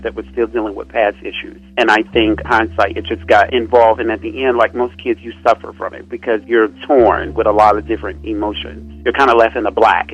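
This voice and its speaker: A young man opens up about his upbringing in an earnest, searching way. His voice is a little husky, and it comes through a dull, muffled remote line. He often drifts into softer asides. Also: medium pitch. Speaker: guest dancer. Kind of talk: reflective personal interview.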